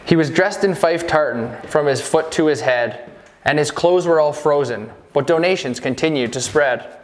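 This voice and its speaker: A man reading a scripted rhyming holiday poem in measured storytelling phrases. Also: fairly high pitch, poor audio. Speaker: reader of a Christmas poem parody. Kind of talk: scripted verse narration.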